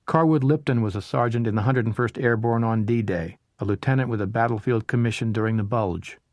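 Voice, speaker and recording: A man narrating a history audiobook in a measured, even way. His voice sits at a middle pitch for a man. The recording is dry and close, with no room sound. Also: dry recording. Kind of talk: scripted narration of a history book.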